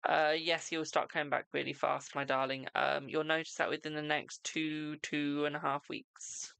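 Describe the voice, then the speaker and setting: A British tarot reader hosting a live stream, chatting casually and answering viewers' questions with a brisk "my darling". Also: medium pitch, flat delivery, gravelly, sharp tone, clean tone, dry recording. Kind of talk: casual live-stream chat and card readings.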